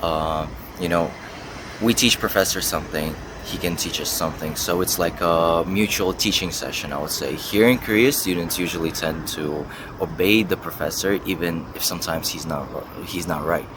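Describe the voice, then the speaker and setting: Low-voiced young man, noisy street. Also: normal speed. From Russia and the US. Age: young adult. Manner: hedging, thinking aloud. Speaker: university student being interviewed. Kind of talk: casual interview answers.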